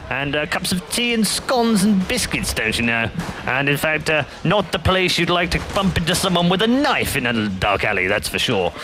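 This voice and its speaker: A man voicing a posh, proper English gentleman commentator with prim, genteel politeness. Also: high-pitched, noisy background.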